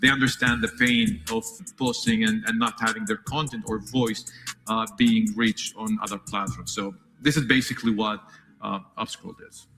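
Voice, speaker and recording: A man's voice: lowish voice, fairly even intonation, moderate pace, pushed and bright, soft background music. A man from Palestine, a tech founder, talking earnestly about his work, often restarting his phrases. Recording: long hall reverb.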